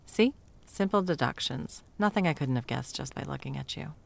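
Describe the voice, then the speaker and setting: A woman's voice: rumbling low, expressive, moderate pace, gravelly, exaggerated voice. A woman playing a bumbling, flustered female Christmas elf in a whimsical comedic audio roleplay, evasive and sarcastic, rambling and backtracking as she goes.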